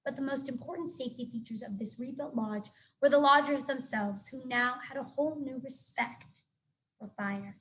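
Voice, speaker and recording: A teacher reading a children's novel aloud to her students in an expressive storytelling manner. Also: high-pitched, normal speed, poor audio. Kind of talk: children's book read-aloud.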